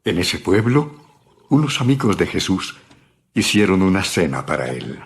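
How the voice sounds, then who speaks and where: A man's voice: sits low, very animated, normal speed. A man narrating a dramatized audio Bible from a script.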